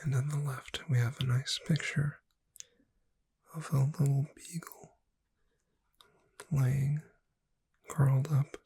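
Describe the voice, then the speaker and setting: A woman whispering in a soothing, deliberate ASMR style, reading aloud to lull a listener to sleep. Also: rumbling low, level tone, vocal fry, mellow, husky, no echo. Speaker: ASMR performer.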